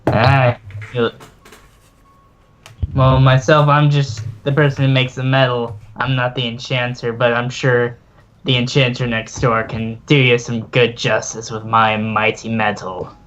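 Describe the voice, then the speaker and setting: A man's voice: mid-range voice, little pitch movement, unhurried, fairly bright tone, dull audio, close to an everyday voice. A man playing an old elven metalsmith and shopkeeper in a tabletop game, courteous and a little boastful. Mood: polite, proud.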